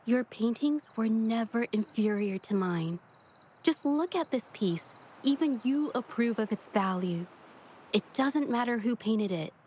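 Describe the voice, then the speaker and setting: A woman playing an earnest, shy young woman painter in a video game, speaking in a gentle, hesitant way. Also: a little high, expressive, measured pace, a little hiss or hum. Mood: gentle, encouraging. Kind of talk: video game story dialogue acting.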